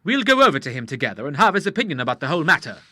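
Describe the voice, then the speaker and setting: A man's voice: on the high side, very animated, rapid-fire, pushed and bright, no echo, full character voice. A man voicing an earnest, plain-spoken young man who is suspicious of rogues. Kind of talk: audiobook character voice.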